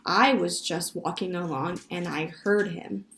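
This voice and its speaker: A woman's voice: on the low side, a little slow, vocal fry, a bit bright, roomy sound, a little hiss or hum. A woman voicing an eager, earnest little boy in a children's story.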